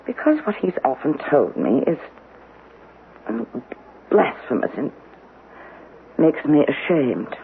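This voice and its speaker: A woman's voice: low-pitched, sing-song, slow pace, creaky, long pauses, heavily acted. A woman playing a polite, worried churchgoer in a 1970s radio drama, speaking in a genteel, careful way. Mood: worried, reticent. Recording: muffled lo-fi radio recording with faint noise.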